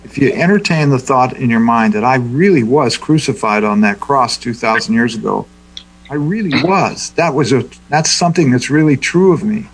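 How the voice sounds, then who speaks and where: A man leading a group Bible discussion, teaching in a thoughtful, unhurried way. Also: mid-range voice, breathy edge, poor audio. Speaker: Bible study leader.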